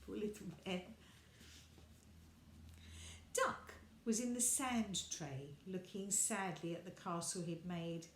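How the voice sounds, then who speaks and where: A woman reads a children's story in an expressive, animated way. She takes her time and speaks to her young listeners. The room is echoey, with some background noise. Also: fairly low pitch, a little slow. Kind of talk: expressive picture-book reading.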